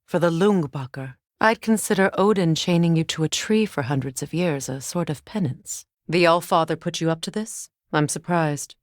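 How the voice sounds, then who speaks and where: An actress voicing a stern, wise warrior goddess in a video game, speaking with measured, formal diction. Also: female voice, low voice, no room sound, studio quality, close to an everyday voice. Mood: grave, probing. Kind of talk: dramatic video game voice acting.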